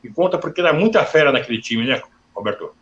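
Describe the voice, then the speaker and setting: A man's voice: mid-range voice, lively intonation, quick pace, fairly bright tone, a little hiss or hum. Guy hosting a live video call interview, talkative, reads sponsor plugs, kinda dull muffled audio. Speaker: interview host.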